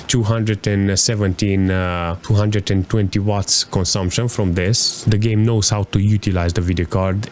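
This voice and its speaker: Lowish voiced guy rambling through game benchmarks, uh and you know a lot, faint room hiss. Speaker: hardware reviewer. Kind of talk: casual gameplay benchmark commentary. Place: small room at home, gaming chair. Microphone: desk mic with headset, webcam framing.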